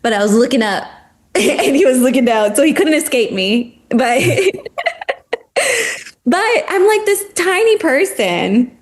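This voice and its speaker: Woman, former teacher, fairly high voice, animated, passionate, lots of "like". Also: takes it slowly. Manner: passionate, animated, heavy use of "like". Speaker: podcast guest, former teacher. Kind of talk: casual podcast conversation.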